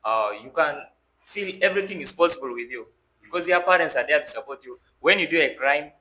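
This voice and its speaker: A man's voice: on the high side, lively intonation, a little fast, bright, forceful tone. A young man hosts a conversational podcast, often repeating phrases as he builds his points. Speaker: podcast host. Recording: roomy echo with faint background noise.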